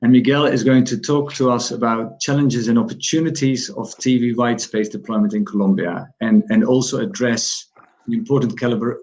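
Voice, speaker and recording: A man's voice: fairly low pitch, a little mellow, low-quality recording. A man moderating a panel discussion. He speaks politely and often repeats words as he starts his sentences.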